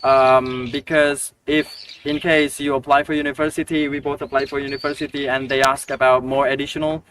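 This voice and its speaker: Man, mid pitch, hesitant and pause-filled, recorded far off with light noise. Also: level tone, a bit bright. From Indonesia. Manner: hesitant, many fillers and restarts. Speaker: scholarship recipient guest. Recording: distant mic with faint noise.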